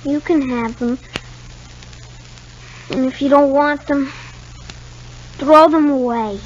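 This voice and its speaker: A woman's voice: sits high, slow, slight background noise. A woman playing a shy, polite young boy with hesitant answers in a 1950s radio soap. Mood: downcast. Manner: reticent, short hesitant answers. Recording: dull 1950s radio recording.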